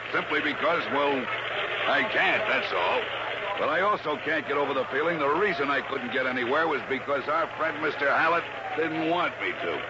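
Gruff American police lieutenant, noisy recording. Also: male voice, a little high, lively intonation, normal speed. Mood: wry, suspicious. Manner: gruff, insinuating, folksy slangy talk. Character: blunt, suspicious police lieutenant. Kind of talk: 1960s radio drama acting. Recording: muffled, very noisy old radio recording.

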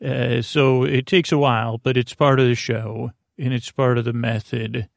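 A man's voice: medium pitch, level tone, vocal fry, no echo, studio quality. A man hosting a bedtime podcast in a meandering monologue, stammering, restarting and interrupting himself as he goes. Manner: meandering, halting, stammering with repeated self-interruptions. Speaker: podcast host.

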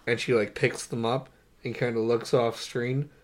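A man's voice: medium pitch, level tone, moderate pace. A man co-hosting a podcast, chatting casually and informally about movies. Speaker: podcast co-host. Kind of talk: casual movie review chat.

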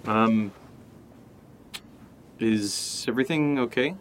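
A man's voice: lowish voice, slow pace, slight background noise. An actor playing an anxious, sarcastic man who mutters to himself, in a naturalistic audio drama. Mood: worried. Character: anxious, suspicious, sarcastic man with memory loss.

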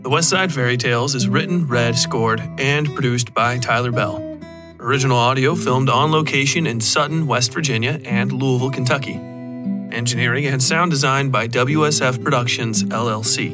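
A man reading scripted narration for a dark fiction podcast in a measured, dramatic storytelling style. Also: medium pitch. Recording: music bed behind voice. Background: plucked guitar music.

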